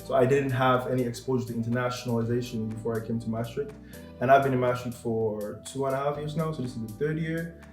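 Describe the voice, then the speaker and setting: Young man from Cameroon, channel host, jokey and relaxed, roomy sound with soft music under him. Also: mid-range voice, fast. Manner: joking, playful. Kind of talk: casual vlog chat. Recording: echoey room with music behind.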